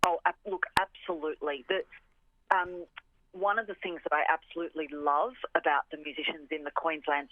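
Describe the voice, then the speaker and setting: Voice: medium pitch, no room sound. An orchestra representative giving a radio interview, explaining with enthusiasm and repeating words for emphasis. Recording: phone-like line on radio.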